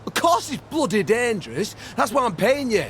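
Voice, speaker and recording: An actor with a British accent playing a bitter, gruff old war veteran, blunt, sardonic and sweary, in a video game. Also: male voice, very high-pitched, sing-song, normal speed, fairly bright tone, a little hiss or hum, full character voice. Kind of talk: video game cutscene acting.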